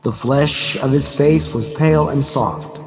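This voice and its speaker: A man reading a novel aloud in a dramatic, deliberate, measured style with pauses. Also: mid-range voice, gravelly, a crowd in the background. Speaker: audiobook reader. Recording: noisy recording with background ambience. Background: background ambience sounds.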